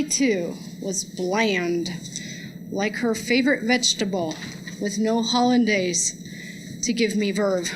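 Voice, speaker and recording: High-voiced woman reciting poetry slowly. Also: slight background noise, low-quality recording. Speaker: guest poetry reader.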